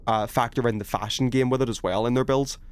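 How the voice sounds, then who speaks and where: A man's voice: mid-range voice, steady tone, fast, slight background noise. A man chatting casually as a game streamer, rambling in a self-effacing, sweary way. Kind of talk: casual chat while streaming gameplay.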